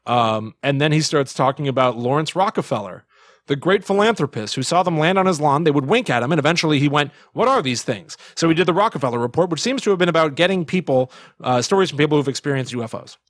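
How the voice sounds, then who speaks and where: A man hosting a podcast in casual conversation, retelling things and slipping into animated quotes of other people. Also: fairly high pitch, quick pace, dry recording, clean studio sound. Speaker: podcast host. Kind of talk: casual chat, retelling and quoting.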